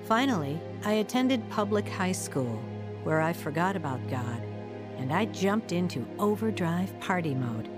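Woman telling her sad youth for a radio drama, lowish reflective voice, music under it. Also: animated, a little slow. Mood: somber. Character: woman soberly recounting her troubled, lonely youth. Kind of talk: scripted first-person radio drama narration.

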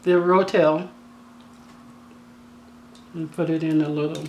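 Chatty woman with a slightly raspy voice. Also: sits low, fairly even intonation, slow pace, darkish tone, a little hiss or hum, rough recording. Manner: chatty, down-to-earth, joking asides. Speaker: cooking channel host. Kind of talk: casual cooking tutorial voice-over. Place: home kitchen.

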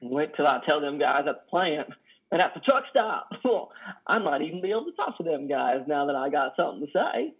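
A man in his thirties playing a lonely, guilt-ridden, simple-minded trucker in a play reading, with halting, folksy speech. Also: a little high, big pitch swings, breathy edge, exaggerated voice. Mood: excited. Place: indoor room on a video call. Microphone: headset. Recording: muffled video-call audio.

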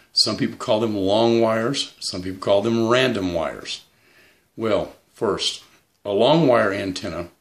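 A middle-aged man giving a casual, plainspoken how-to at home, pausing often with "uh". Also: lowish voice, a bit bright. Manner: plainspoken, with frequent restarts and "uh" pauses. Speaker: radio hobbyist demonstrating antennas. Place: indoors at home.

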